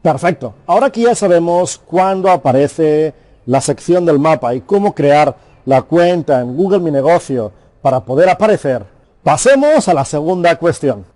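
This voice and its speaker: A man explains a lesson to small business owners, urging them on directly and addressing them formally. His voice sits a little high, with a soft, mellow tone. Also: very animated, normal speed. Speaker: course presenter.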